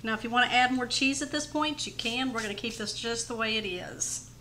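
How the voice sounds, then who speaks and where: A woman's voice: a little high, brisk, bright, forceful tone, slight background noise, poor audio. A middle-aged woman in her home kitchen, chatting viewers step by step through a casserole recipe. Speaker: home cooking channel host. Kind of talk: casual cooking demonstration.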